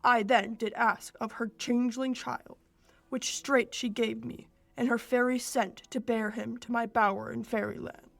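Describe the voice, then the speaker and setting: A young woman playing a proud fairy king, reciting Shakespearean verse in a measured, declamatory way for a radio play. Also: a little high, steady tone, creaky, fairly bright tone, no room sound. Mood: pitying, recounting. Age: teens or young adult.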